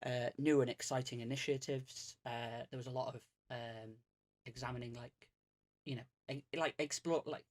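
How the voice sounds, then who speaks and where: A man's voice: mid-range voice, steady tone, normal speed. A man, chatting casually as a guest, rambling and self-deprecating with lots of fillers and restarts. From Greater Manchester, England. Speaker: streamer guest. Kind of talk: casual podcast chat.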